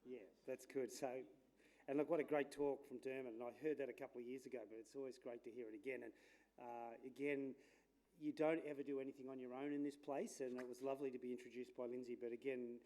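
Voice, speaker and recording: A man, a landscape architect giving a loud, chatty conference talk with many fillers. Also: mid-range voice, steady tone, fast, poor audio. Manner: chatty, self-deprecating, with fillers; says his voice is loud. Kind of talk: informal conference presentation. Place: lecture room at a conference.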